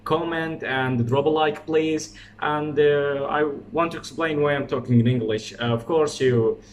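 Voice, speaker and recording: A man's voice: on the high side, steady tone, a little slow, highs cut off, a little hiss or hum. Hesitant Arabic-accented teenage boy. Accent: Iraqi Arabic. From Iraq. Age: teens. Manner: hesitant, many filler uh's, non-native phrasing. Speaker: vlogger. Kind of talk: explainer vlog.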